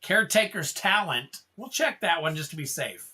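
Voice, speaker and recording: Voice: medium pitch, expressive, moderate pace, pushed and bright, breathy edge. A livestream host opening card packs, chatting casually, calling out card names and thanking viewers in a rambling way.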